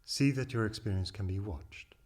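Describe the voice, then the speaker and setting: Low, husky male meditation guide. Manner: slow, calm, deliberate instruction with long pauses. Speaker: meditation teacher.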